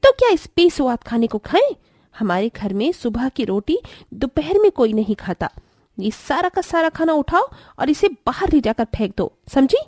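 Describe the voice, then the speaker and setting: A woman's voice: high voice, very animated, moderate pace, darkish tone, no echo, clean studio sound. A woman playing a spoilt, snobbish young sister-in-law who sneers curtly, in a cartoon story. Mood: sneering. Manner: sneering, curt.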